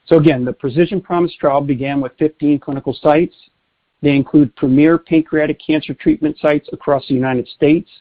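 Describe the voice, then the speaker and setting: A man presenting as a company executive, reading prepared corporate remarks in a formal, measured way. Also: medium pitch. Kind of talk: scripted corporate earnings call presentation. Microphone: telephone. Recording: tinny narrowband conference phone line.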